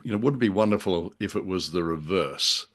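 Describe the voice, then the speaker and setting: A man co-hosting a conversational discussion, speaking in halting, wordy phrases. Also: low voice. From Australia. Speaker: podcast co-host. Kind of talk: conversational discussion of classic radio drama.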